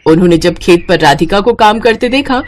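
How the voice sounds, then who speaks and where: A woman's voice: medium pitch, animated, brisk, slight background noise. A woman narrating a scripted moral story as a storyteller.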